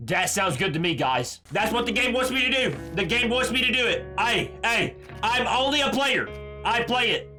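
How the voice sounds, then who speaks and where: Bright, slangy young male game streamer. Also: very high-pitched, animated, moderate pace, faint music behind. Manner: ranting, repetitive, slangy. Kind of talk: casual, profane playthrough commentary.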